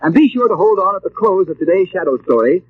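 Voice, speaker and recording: An actor playing a formal, declamatory announcer in the style of 1940s radio. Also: male voice, high-pitched, animated, fast. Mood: solemn, promotional. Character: solemn radio announcer selling coal and introducing mystery. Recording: muffled, tinny old-time radio broadcast.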